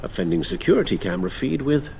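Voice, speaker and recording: A man's voice: on the high side, very animated, fast talker, gravelly, a little mellow, a little hiss or hum, heavily acted. An actor playing a loyal, anxious older British butler with a formal, fatherly manner in a video game. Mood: formal, concerned.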